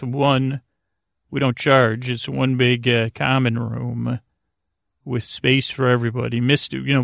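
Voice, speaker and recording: A man with a creaky voice hosting a bedtime podcast, meandering and halting through a rambling monologue with pauses and tangents. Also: mid-range voice, a bit bright, no echo, clean studio sound. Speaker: podcast host. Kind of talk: rambling bedtime monologue to help listeners sleep.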